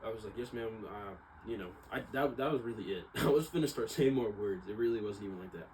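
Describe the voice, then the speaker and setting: A man's voice: on the low side, animated, fast talker, a little mellow. A man talking casually and unscripted, in a rambling, self-doubting way that circles back and repeats. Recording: clearly noisy ambient background.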